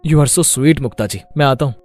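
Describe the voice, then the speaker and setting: A man's voice: medium pitch, moderate pace, a little mellow, faint music behind, lightly acted. A man playing a stubborn, neglected young husband in a melodramatic story, with an emphatic, aggrieved manner. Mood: pleased. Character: neglected, stubborn young husband Aditya, smitten with another woman. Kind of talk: melodramatic Hindi moral story acting.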